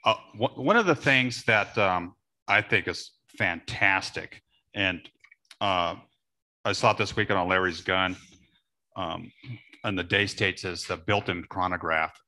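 A man's voice: low-pitched, unhurried, bright, forceful tone. A middle-aged man chatting casually on a panel as an airgun shooter. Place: panel table with several people at an expo.

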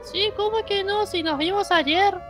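A woman's voice: extremely high pitch, a little slow, music in the background. A woman voicing a tiny, childlike, insistent game character giving urgent warnings in a Minecraft roleplay.

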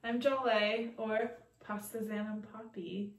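A woman's voice: medium pitch, level tone, slow pace, a little hiss or hum. A young woman from Mexico chatting casually about plants in a bedroom, filmed by a camera across the room. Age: young adult. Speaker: plant vlogger. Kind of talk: casual chat. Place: bedroom, seated on bed. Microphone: far camera. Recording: roomy echo, distant from mic.

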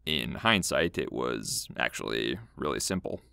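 A man hosting his own animated show in scripted comic banter, with an animated delivery. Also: rumbling low, measured pace, creaky, clean tone, no echo, studio quality. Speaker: show creator and host.

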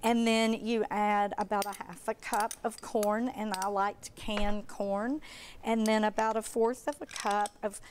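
A woman's voice: mid-range voice, steady tone, unhurried. A woman in her fifties chatting through a cooking demonstration as a guest cook, on a lapel mic in a studio kitchen. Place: TV studio kitchen set, indoors. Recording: clearly noisy studio background.

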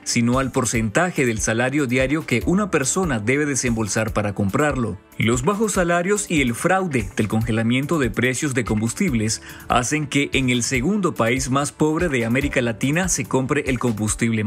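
A man reads a news report in a steady, formal voice-over at a middle pitch. Faint music runs under his narration. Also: normal speed, few pauses. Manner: steady, formal read delivery.

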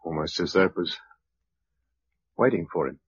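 An actor playing a blunt, sardonic, down-to-earth survivor in a British radio drama, with a British accent and colloquial way of talking. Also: male voice, low voice, expressive, moderate pace. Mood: uneasy, wry. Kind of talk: mid-century British radio drama acting. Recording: dull, noisy old radio recording.

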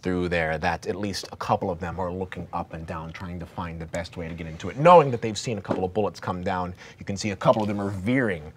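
A man's voice: low voice, fast talker, hardly any pauses, slight background noise. A man in his thirties running a tabletop horror game as game master, with vivid descriptive narration full of restarts. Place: studio set with a game table.

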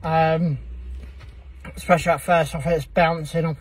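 A man's voice: on the high side, level tone, normal speed, slightly rough, distant mic. A young man, talking to his phone at arm's length in a parked car after a football match, rambling happily with lots of ums. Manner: gushing, rambling, many "um"s. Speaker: football fan vlogger. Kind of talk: excited casual vlog chat. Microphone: phone held at arm's length.

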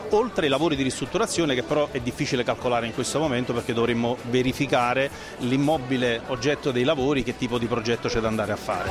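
A middle-aged man explaining a business as an interview guest, speaking into a handheld microphone. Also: medium pitch. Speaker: franchise consultant, interview guest. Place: trade fair stand indoors. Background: background music.

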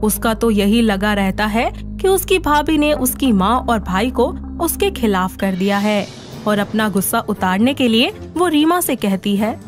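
A woman's voice: a little high, lively intonation, measured pace, soft background music. A woman reading scripted story narration as the narrator.

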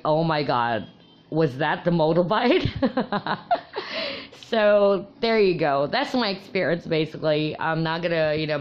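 Low-pitched woman, native Tagalog speaker, chatty vlog storyteller, slightly muffled audio. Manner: chatty, laughing often, many fillers and restarts. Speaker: crystal vlogger. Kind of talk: casual personal storytelling.

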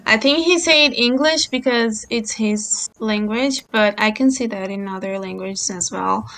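A woman whose first language is Portuguese, leading a book club discussion over a video call and reading passages aloud, with hesitant pauses and frequent ums. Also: a little high, a bit bright. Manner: slow, hesitant, many ums.

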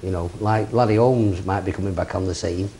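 A man's voice: sits low, fairly even intonation, fairly quick, dark tone, a little hiss or hum. A middle-aged man, a boxing promoter talking plainly in an office interview. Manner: plain-spoken, rambling lists. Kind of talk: seated interview. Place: office with framed memorabilia. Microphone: lapel.

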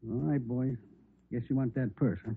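An actor playing a chatty, obliging bar owner in an old radio crime drama, with a folksy way of talking. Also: male voice, medium pitch, fairly even intonation, normal speed, creaky, slight background noise, close to an everyday voice. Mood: affable, obliging. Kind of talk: 1950s radio drama acting. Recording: muffled, narrow old radio recording.

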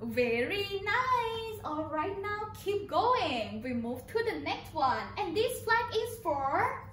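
A woman teaches a children's lesson in a Thai accent, speaking slowly with a very high, sing-song voice. Her delivery is exaggerated and built on simple repeated phrases. She sounds distant from the mic in an echoing room. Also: sing-song, fairly bright tone. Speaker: kindergarten English teacher. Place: small indoor room beside a presentation screen. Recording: roomy, distant mic, background noise.

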